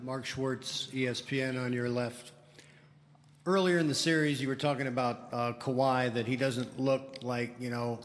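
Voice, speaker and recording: A male reporter puts a long, measured question to a player. His voice is mid-pitched. The room adds a long echo. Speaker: sports reporter. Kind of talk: press conference question. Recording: reverberant press room.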